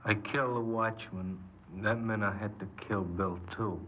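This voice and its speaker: An actor playing a cold, remorseless, cocky killer and burglar in a 1950s radio crime drama, speaking in a clipped, matter-of-fact, commanding way. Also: male voice, on the low side, steady tone, normal speed, natural voice. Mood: detached, confessing. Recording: noisy, dull 1950s radio recording.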